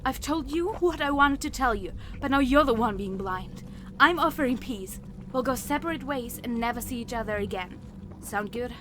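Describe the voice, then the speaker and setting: A repentant female adversary, acted by a woman in an audio drama. Her voice is high, earnest and halting as she pleads, with slight noise behind it. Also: animated, lightly acted. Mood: firm, weary. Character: Nemesis, remorseful pleading female enemy of the hero.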